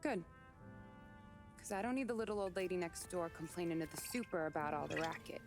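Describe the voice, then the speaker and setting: A woman's voice: on the low side, animated, brisk, music in the background. An actress playing a tough but tender young working-class city woman, wry and frank, in a cinematic period game. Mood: wry, caring.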